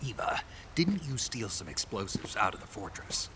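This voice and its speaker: An actor playing a gruff, terse soldier spy in a video game, with a gravelly, dry American delivery. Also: male voice, on the low side, very animated, normal speed. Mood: stoic. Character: gruff laconic male soldier spy on a survival mission. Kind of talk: video game cutscene acting. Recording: noisy ambient background.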